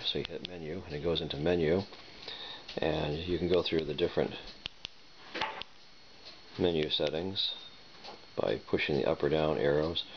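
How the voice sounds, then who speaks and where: Man, extremely deep voice, casual hands-on review, tentative thinking aloud, clearly noisy recording. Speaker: product reviewer. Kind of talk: casual unboxing and demonstration talk. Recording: dull lo-fi recording, noisy with clicks and beeps.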